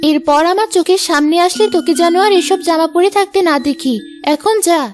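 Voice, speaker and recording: A woman's voice: very high-pitched, fairly quick, darkish tone. A woman voicing a blunt, gluttonous, demanding grown daughter in a cartoon. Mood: indignant. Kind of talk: Bengali cartoon dub. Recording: music behind the voice.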